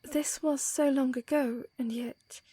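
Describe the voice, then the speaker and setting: A young woman playing a gentle, grieving princess in a fan audio drama, speaking in hushed, halting, formal phrases. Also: high voice, fairly even intonation, slight background noise. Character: sorrowful young princess, gentle, haunted by past loss.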